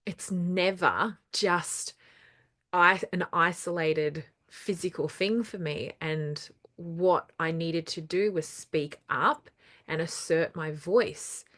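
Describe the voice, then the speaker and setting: A woman's voice: on the low side, takes it slowly, fairly bright tone. A woman hosting her own numerology channel, talking casually and earnestly, repeating words for emphasis, with a lingering cough after throat trouble. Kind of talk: casual solo talk to camera.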